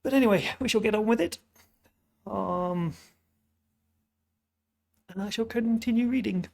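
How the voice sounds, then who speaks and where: A tired British man with a gravelly, hoarse edge and a stuffy, sniffly nose reads verse aloud at an unhurried pace. His voice sits a little high for a man. Between readings he chats in a self-mocking way. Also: darkish tone. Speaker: storyteller host. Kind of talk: casual intro, then reading an epic poem aloud.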